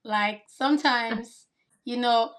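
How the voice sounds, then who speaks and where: A woman's voice: fairly high pitch, slow pace, fairly bright tone. Earnest woman guest from Cameroon. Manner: earnest and explanatory. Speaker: guest, kindergarten teacher. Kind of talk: casual interview answers.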